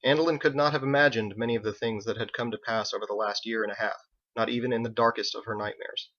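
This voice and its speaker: A man reading his own fantasy novel aloud as an expressive, scripted audiobook narration. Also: on the low side, fast talker, highs cut off.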